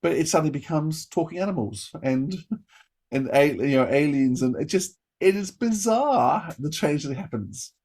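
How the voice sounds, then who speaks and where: Enthusiastic man reviewing, halting and effusive. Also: on the high side, expressive, darkish tone. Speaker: podcast co-host and reviewer. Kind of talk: enthusiastic, casual review chat.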